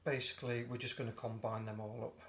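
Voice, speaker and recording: Home cooking host: man, croaky and gravelly, flat easy delivery, noisy kitchen recording. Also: medium pitch, fast. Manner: relaxed, chatty, often corrects himself mid-sentence. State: hoarse, croaky voice. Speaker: home cook presenting a recipe. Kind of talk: casual step-by-step cooking demonstration.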